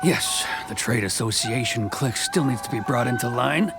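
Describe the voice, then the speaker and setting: An actor playing a self-satisfied, shady tax collector in a video game, musing smugly to himself. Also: male voice, medium pitch, moderate pace, vocal fry, close to an everyday voice. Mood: scheming. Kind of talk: acted video game dialogue. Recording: game music behind the voice.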